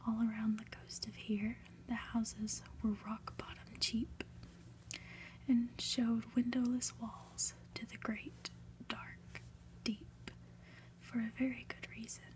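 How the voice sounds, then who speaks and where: A woman softly whispering a story aloud in an ASMR style, deliberate and lingering on phrases with pauses. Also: a little high, flat delivery, rough recording. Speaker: ASMR reader, channel host.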